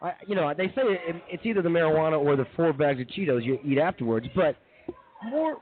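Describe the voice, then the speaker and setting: A man's voice: fairly high pitch, very animated, brisk. A man co-hosting a comedy radio show, joking casually and jumping in with emphatic interjections. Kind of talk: casual comic banter. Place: live show at a brewing venue. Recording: thin phone-like audio.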